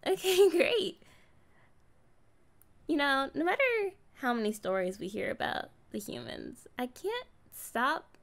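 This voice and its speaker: Woman acting as a slow-talking, high-voiced young mermaid. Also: sing-song, vocal fry, exaggerated voice. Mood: curious, teasing. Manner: casual, chatty, hesitant pauses. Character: kind, curious, guarded young female mermaid. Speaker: ASMR roleplay performer. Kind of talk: ASMR roleplay, conversational.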